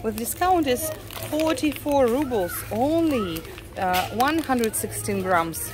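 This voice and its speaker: A Russian woman with a Russian accent, talking casually as a vlogger while she walks through a grocery store, halting often with "uh" and restarts. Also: a little high, animated. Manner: unhurried, halting, frequent uh and restarts. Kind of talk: casual shopping vlog walk-through. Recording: clear store background noise.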